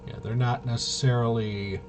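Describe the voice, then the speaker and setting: A man hosting a tabletop game walkthrough, thinking aloud in a casual, halting way and muttering to himself. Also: mid-range voice, little pitch movement. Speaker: host of a tabletop RPG video. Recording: noisy room, clearly audible background noise.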